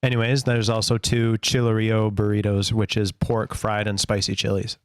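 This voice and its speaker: A man co-hosting a podcast, chatting casually and reading out a food order in a relaxed, plain way. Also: on the low side, normal speed, clean tone, no echo, studio quality. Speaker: podcast co-host. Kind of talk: casual chat.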